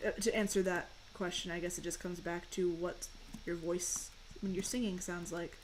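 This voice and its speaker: Chatty young woman with a deep voice. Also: fairly even intonation, moderate pace, a little hiss or hum. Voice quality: fairly deep voice. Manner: chatty, rambling, answers chat questions. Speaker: streamer. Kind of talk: casual chat while gaming.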